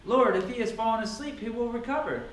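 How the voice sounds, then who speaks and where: A man's voice: extremely high pitch, quick pace, voice pushed up. A man voicing anxious, questioning disciples in a dramatic scripture reading, with an urgent manner. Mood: puzzled. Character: anxious, questioning male disciples of a holy teacher. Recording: echoey room, distant mic, noisy.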